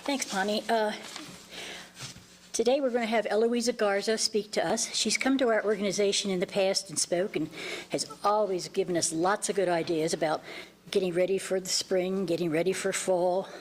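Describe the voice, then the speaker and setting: A woman in her sixties introducing a guest speaker at a neighborhood meeting, admiring and a little halting. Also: mid-range voice, fairly even intonation. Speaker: association treasurer introducing the speaker. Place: community meeting room.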